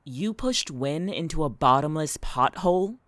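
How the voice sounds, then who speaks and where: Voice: medium pitch, expressive, unhurried, fairly bright tone, dry recording. An actor playing a tense, protective young woman in an audio drama, terse, blunt and guarded, with music underneath. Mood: shaken, menacing. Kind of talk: audio fiction podcast acting.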